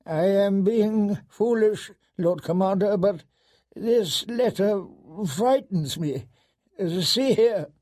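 A man's voice: sits high, slow pace, darkish tone, pitched above the performer's own voice. A man voicing a trembling, deferential old steward in an audiobook, hesitant and fearful. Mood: frightened.

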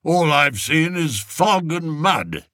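A man's voice: on the high side, very animated, slow, bright, forceful tone, dry recording, studio quality, pitched above the performer's own voice, exaggerated voice. A man voicing a big, burly guard captain who speaks respectfully and steadily.

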